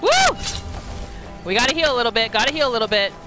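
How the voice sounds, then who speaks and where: A woman giving casual, expressive gameplay commentary as a roleplay host. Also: on the high side, quick pace, over music. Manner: expressive, exclamatory.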